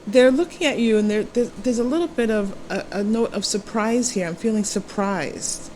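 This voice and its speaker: A woman host speaking casually to viewers as a tarot reader, gently reassuring and halting as she reflects. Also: medium pitch, low-quality recording. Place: temporary indoor recording spot. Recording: steady air-conditioner hum behind voice. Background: air conditioning running.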